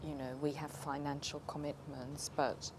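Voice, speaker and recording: A middle-aged woman with a Hungarian accent tells her own story casually and haltingly, thinking aloud as a documentary interviewee. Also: deep voice, flat delivery, background noise. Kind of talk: casual personal storytelling to camera.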